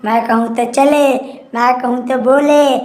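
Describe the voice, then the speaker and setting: A woman voicing a vengeful, lonely female ghost witch who cackles between slow, menacing lines. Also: high voice, fairly even intonation, a little mellow. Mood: scheming. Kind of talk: dramatized horror story acting. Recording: echoey room.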